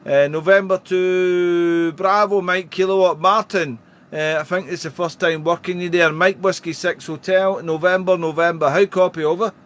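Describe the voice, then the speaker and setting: A man's voice: high voice, fairly even intonation, normal speed, fairly bright tone. A man working as an amateur radio operator, chatting in a friendly way and calling out phonetic call signs. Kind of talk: radio contact calls and casual chat. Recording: clear background radio noise.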